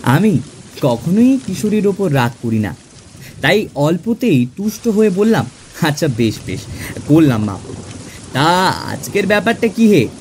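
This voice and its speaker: A man playing a genial middle-class clerk who narrates his own tale in an expressive, theatrical audio drama style. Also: high-pitched, unhurried, a little hiss or hum. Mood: genial, teasing. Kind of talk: dramatised first-person audio story narration. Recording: music under the voice.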